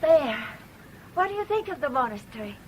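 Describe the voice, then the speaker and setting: Devoted confidante for vintage radio thriller: high voice, earnest, solicitous, proper, clearly noisy old broadcast. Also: female voice, sing-song, normal speed, a little mellow. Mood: bright, attentive. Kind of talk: 1970s radio drama acting.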